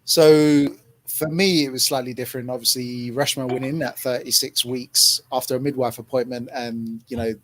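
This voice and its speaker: Man, casual podcast host, chatty, storytelling, mid-pitched voice. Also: steady tone, moderate pace, a bit bright, slight background noise. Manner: chatty, storytelling, laughs often. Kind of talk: casual chat.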